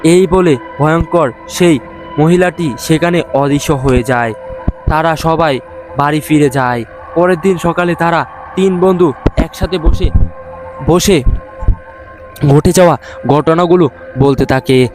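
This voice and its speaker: Male narrator, horror audio story. Slightly high voice, halting delivery with repeats, music underneath. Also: poor audio. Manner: halting, often repeats words. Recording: music behind the voice.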